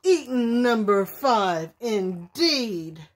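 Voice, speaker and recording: A woman's voice: a little high, sing-song, extremely slow, a little hiss or hum, exaggerated voice. A woman voicing a misunderstood male wolf in a children's storybook, earnest, easily hurt and emphatic. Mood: huffy anger.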